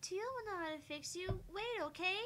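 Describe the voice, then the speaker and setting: A woman voicing a small, earnest little girl with a halting way of talking, in a visual novel read-aloud. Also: very high voice, animated, sharp tone, a little hiss or hum, voice pushed up, exaggerated voice. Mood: worried, flustered. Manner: halting, stammering.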